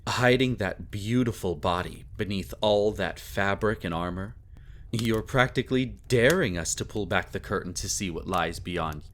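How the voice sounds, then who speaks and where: A suave vampire lord in an intimate audio drama, his low voice unhurried and swooping expressively. He is courtly and theatrical, teasing with seductive, sadistic menace. Faint noise sits behind the voice. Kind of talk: scripted roleplay audio, intimate dramatic monologue.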